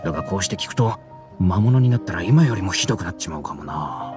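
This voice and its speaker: A man's voice: low voice, lively intonation, fast, music in the background. An actor playing an ordinary, weary townsman in an anime game, speaking in a plain, casual, earnest way. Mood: resigned. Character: ordinary weary townsman dreaming of an easier life. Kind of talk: Japanese anime game acting.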